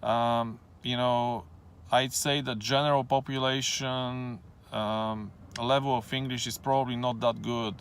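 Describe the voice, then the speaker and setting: A man talks straight to the camera in an unhurried vlog. His voice is smooth and clear, at an ordinary middle pitch. He hesitates often and fills the gaps with ums while keeping a plain, matter-of-fact tone. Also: little pitch movement, fairly bright tone. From Bulgaria. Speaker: channel host. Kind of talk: casual explanatory vlog.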